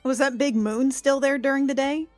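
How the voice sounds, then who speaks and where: A woman's voice: high-pitched, steady tone, moderate pace, a little mellow, no echo, soft background music. A woman playing a tabletop roleplaying game, chatting informally and thinking aloud as she goes. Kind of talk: casual chat during a roleplaying game.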